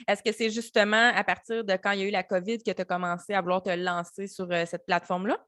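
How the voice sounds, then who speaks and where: A woman's voice: mid-range voice, flat delivery, fast, fairly bright tone, no echo, clean studio sound. A woman hosting a casual interview, asking questions in an upbeat way. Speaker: interviewer host.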